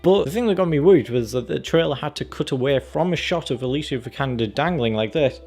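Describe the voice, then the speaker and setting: A man's voice: medium pitch, animated, fast, darkish tone, slightly rough, faint music behind. A man giving casual, opinionated video commentary as a review vlogger, in a rambling, conversational way. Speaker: film and game review vlogger.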